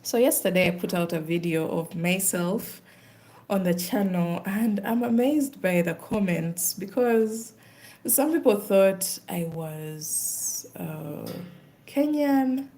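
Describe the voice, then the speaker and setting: A woman's voice: medium pitch, slow pace, mellow. A woman with a Ugandan accent, chatting casually on a live stream while she does craft work.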